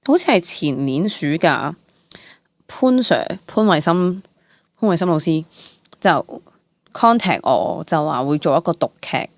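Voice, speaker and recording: A woman's voice: sits low, unhurried, pauses often, no room sound. A young woman with a Hong Kong Cantonese accent, an interview guest chatting candidly and expressively, dropping in English words. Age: young adult. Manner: animated storytelling, exclamations, mixes in English words. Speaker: interview guest, stage actor. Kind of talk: casual candid chat.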